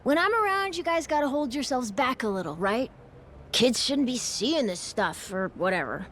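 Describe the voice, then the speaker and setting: A woman's voice: sits high, animated, normal speed, fairly bright tone, slight background noise. A woman voicing a plucky, eager young child on a pirate crew in a video game. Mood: grudging. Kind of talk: video game cutscene acting.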